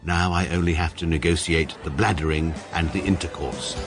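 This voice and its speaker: An actor playing a pedantic, self-mocking young barrister who narrates a comic radio drama in a deadpan, precise manner. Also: male voice, deep voice, moderate pace, over music. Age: young adult. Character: dry, pedantic male barrister narrating his life.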